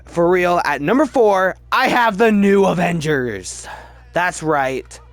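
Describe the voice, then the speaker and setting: Chatty higher-pitched man ranking movies. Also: expressive, no echo. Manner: chatty, halting, restarts sentences mid-word. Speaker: movie review video host. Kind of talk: casual ranked-list movie commentary.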